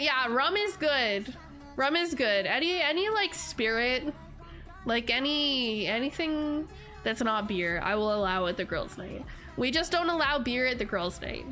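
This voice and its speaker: Congested young woman streamer, high bright voice, slow and giggly, soft music behind. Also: lively intonation. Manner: chatty, giggly, rambling with many "like"s. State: stuffy and congested from allergies. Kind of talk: casual livestream chat reading comments.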